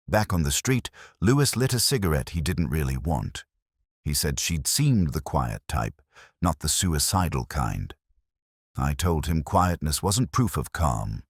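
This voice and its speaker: Guy acting as a brooding police inspector telling his case, super deep voice, swoopy pitch, solemn n measured, scripted audio drama. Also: breathy edge, no echo, studio quality, heavily acted. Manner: measured, literary, solemn delivery. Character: brooding, introspective male British police inspector. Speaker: narrator. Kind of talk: scripted first-person detective story narration.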